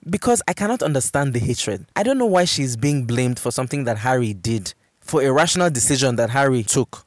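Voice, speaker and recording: A man's voice: medium pitch, expressive, fairly quick, no echo, studio quality. A man hosting a solo podcast, speaking conversationally and emphatically, repeating words for stress. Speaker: podcast host. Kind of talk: solo podcast monologue, conversational relationship advice and recommendations. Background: music.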